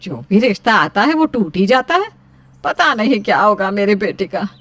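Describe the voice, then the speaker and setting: A woman's voice: medium pitch, sing-song, moderate pace, a bit bright, husky, no echo. A woman playing an anxious, scolding middle-aged mother in story dialogue, fretful and complaining. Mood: worried.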